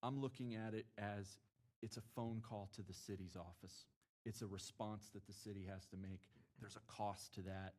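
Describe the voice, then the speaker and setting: A man, a lifelong local, speaking at a city planning meeting in an earnest, halting way full of restarts. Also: lowish voice, steady tone, darkish tone. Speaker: board member. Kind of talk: public meeting discussion. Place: meeting chamber.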